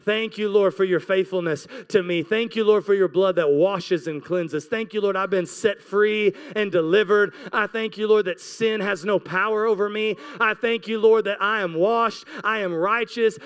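A man's voice: high voice, fairly bright tone, clear, resonant tone. A man in his forties, a pastor preaching with impassioned, repeated urging and scripture reading into a handheld mic. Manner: exhorting, repetitive urging. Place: church stage, indoors. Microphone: handheld microphone held close.

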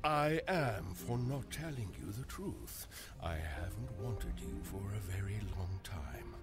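A man's voice: on the low side, animated, unhurried. A man playing a jealous, bitter writer in a comic audio drama, scornful and theatrical. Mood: bitter, cold. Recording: loud music underneath.

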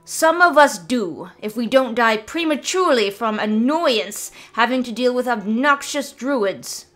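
A woman voicing a proud, easily annoyed male wizard of seventy-one in a storybook reading, speaking in a measured, prim way. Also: on the high side, lively intonation, fairly bright tone, voice pushed up. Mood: irritated.

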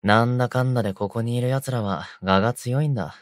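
Considerate young male delinquent, acted by a man, gentle, reassuring, medium pitch, dry sound, audio drama. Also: fast, no room sound, natural voice. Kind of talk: romantic Japanese audio drama.

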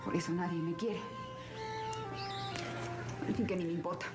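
A woman's voice: fairly low pitch, fairly even intonation, moderate pace, close to an everyday voice. An actress playing a proud, wounded young country woman in a telenovela, blunt and defensive. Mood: hurt, defiant. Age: young adult. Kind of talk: 1970s telenovela acting. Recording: old TV audio under loud music.

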